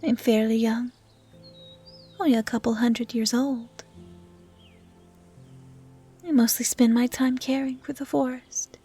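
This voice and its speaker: An actress plays a young female dryad in an intimate audio roleplay. Her voice is fairly high yet mellow and hushed, often close to a whisper. She is gentle, curious and caring, with music playing behind her. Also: little pitch movement, a little slow, long pauses, husky. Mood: shy, wistful.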